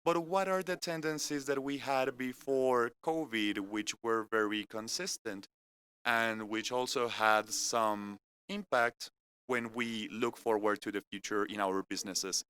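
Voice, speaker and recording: A man from France with a French accent, speaking in English as he gives a measured, earnest business presentation that moves point by point through an agenda. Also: mid-range voice, fairly even intonation, fairly bright tone, clean tone. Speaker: corporate keynote speaker. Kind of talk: live-streamed business presentation. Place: company innovation center studio.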